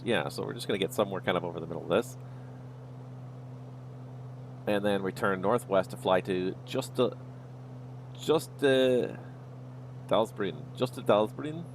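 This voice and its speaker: A man with a low voice and a gravelly edge to it. He is streaming a flight sim and thinks aloud in an easygoing way. Faint noise sits under the voice. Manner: easygoing, talks himself through each step. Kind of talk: livestream commentary, thinking aloud.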